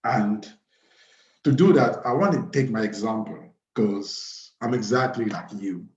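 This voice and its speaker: A man in his thirties from Cameroon hosting an informal live webinar, speaking haltingly and repeating phrases. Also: fairly low pitch, darkish tone. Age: 30s. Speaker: webinar host. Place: small plain room indoors. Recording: roomy, dull webcam audio.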